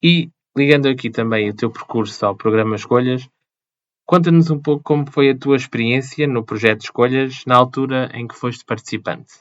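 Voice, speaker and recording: A man from Portugal hosting a podcast, reading a clear introduction and asking the guest questions. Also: mid-range voice, brisk, dry recording, muffled recording. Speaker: podcast host. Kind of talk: scripted intro, then interview questions.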